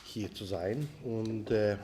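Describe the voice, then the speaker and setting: Slovenian man, formal festival director, lowish dark voice, slight background noise. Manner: formal, deliberate, with fillers. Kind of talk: formal speech at press conference.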